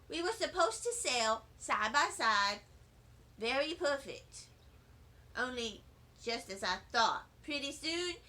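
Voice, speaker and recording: High, bright children's story reader. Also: female voice. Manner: chatty, wide-eyed childlike narration. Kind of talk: children's book read-aloud in first-person child voice.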